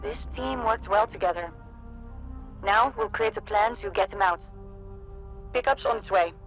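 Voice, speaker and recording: Resistance leader, female actor, for game cutscenes: composed, authoritative, measured delivery, medium pitch, light music underneath. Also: lightly acted. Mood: calm, commanding. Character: composed resistance leader woman planning missions, calm and commanding. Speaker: mission commander. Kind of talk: video game cutscene acting.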